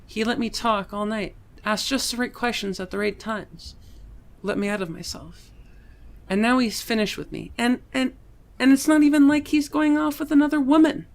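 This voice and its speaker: Woman acting a bitter, creaky-voiced jilted young assistant. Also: a little high, moderate pace. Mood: bitter, hurt. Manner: aggrieved, sarcastic. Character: bitter, heartbroken young female assistant, sarcastic. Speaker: voice actor. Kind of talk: voiced comic book dialogue.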